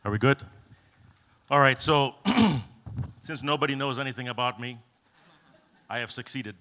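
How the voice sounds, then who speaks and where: Kenyan-born man, middle-aged, conference speaker, bright forceful tone, joking anecdotes, lots of ums. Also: medium pitch, a little slow, a little hiss or hum. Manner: humorous, self-deprecating storytelling with many fillers. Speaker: keynote speaker. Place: event hall.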